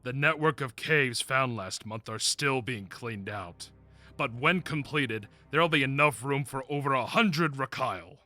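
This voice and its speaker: Man as very sharp-voiced pompous dragon attendant. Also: medium pitch, animated, unhurried. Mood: dutiful. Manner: formal, declamatory. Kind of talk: animated fantasy drama acting.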